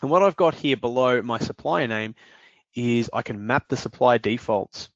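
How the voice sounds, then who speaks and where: A man presenting a screen-share software demo, explaining each step in a steady way with occasional fillers. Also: medium pitch, normal speed, no echo, muffled recording.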